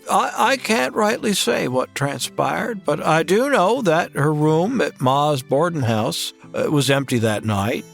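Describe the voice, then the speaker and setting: A man playing a soft-spoken gravelly Western drifter. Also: a little high, heavily acted. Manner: folksy, halting drawl. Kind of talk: comedy audio drama acting and narration.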